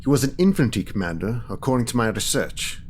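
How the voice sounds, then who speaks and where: An actor playing a coolly analytical official in an audio drama, speaking in a formal, measured, clinical manner. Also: male voice, medium pitch, very animated, a little hiss or hum, natural voice. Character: coolly analytical male official, precise calculating strategist.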